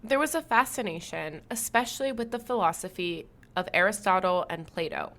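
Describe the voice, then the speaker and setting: A woman hosting a history podcast, reading scripted narration smoothly. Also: medium pitch, fairly quick, fairly bright tone. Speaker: podcast host.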